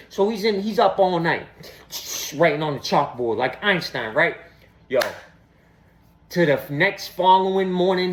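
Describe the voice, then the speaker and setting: A young man with a Boston accent delivers a loose, profane stand-up bit, pitched noticeably high for a man. He talks like a streetwise buddy, circling back to his catchphrases. The sound bounces around an echoey room. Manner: profane, streetwise, repeats "you know what I'm saying". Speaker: comedy channel host. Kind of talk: casual stand-up monologue to camera.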